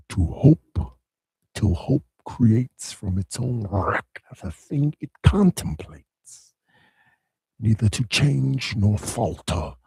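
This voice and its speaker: Man voicing Demogorgon, deep husky and slow. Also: a little mellow, no room sound, heavily acted. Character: Demogorgon, vast primal male power. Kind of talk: dramatic verse reading.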